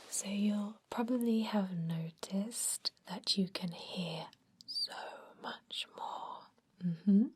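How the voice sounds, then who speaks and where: Whispering female vampire doctor, acted by a woman, gentle and slow. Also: mid-range voice, full character voice. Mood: gentle, explanatory. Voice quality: breathy whisper. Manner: soothing, unhurried, attentive bedside explanations. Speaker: doctor examining a patient. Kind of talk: ASMR roleplay, whispered.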